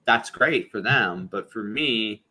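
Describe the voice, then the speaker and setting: A man's voice: lowish voice, takes it slowly, pushed and bright. A man hosting a podcast over a video call, talking casually and rambling, often restarting his sentences. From Ontario, Canada. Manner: rambling, lots of restarts and repeated words. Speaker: podcast host. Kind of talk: casual conversation.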